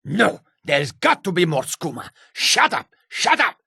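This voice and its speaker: Raspy, wildly swooping, paranoid catfolk addict. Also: male voice, high voice, big pitch swings, a little slow, pushed and bright, no echo, studio quality, heavily acted. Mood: paranoid, agitated. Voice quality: gravelly, raspy. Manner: erratic, accusing. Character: paranoid, agitated male catfolk drug addict. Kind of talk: video game character dialogue.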